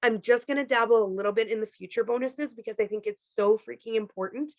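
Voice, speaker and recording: A woman from Canada walking her team through a pay plan on a video call, chatty and full of "like" and "okay", her voice going hoarse. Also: a little high, fast talker, no room sound, muffled recording. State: losing her voice. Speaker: team leader presenting a compensation plan. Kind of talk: casual presentation on a video call.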